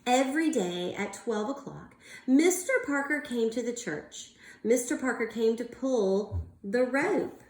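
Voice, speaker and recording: High-voiced woman reading aloud to children. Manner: animated, drawn-out delivery, prompting young listeners. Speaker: teacher reading a picture book aloud to young children. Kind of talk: expressive storybook read-aloud with questions to young children. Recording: roomy, echoing indoor sound.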